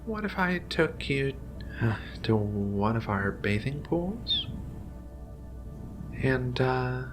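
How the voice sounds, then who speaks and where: A man voicing Ragna's kind of figure, a gentle, caring giant female feline alien researcher, in a soothing, hesitant ASMR roleplay delivery. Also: mid-range voice, big pitch swings, slow, music in the background. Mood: gentle, coaxing.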